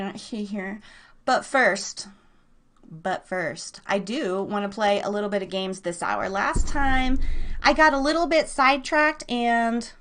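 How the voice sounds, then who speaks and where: A woman with a high-pitched voice hosts a live internet radio show. She is bubbly and excitable, rambling in casual slang as she talks straight to her online audience.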